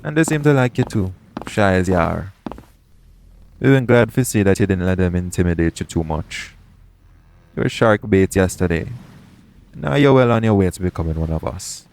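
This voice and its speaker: Man acting a low-voiced roguish pirate captain. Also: animated, normal speed, vocal fry, a little mellow, slight background noise. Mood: friendly, coaxing. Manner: colloquial seafarer's dialect, speaking tenderly to one listener. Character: roguish pirate captain, protective and gently reassuring. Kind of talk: intimate audio roleplay acting.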